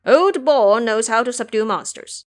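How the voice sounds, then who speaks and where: A woman's voice: a little high, expressive, measured pace. A woman voicing a boastful, foolish male pig monk with a brash, bragging manner in an audio drama. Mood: brash, cheerful.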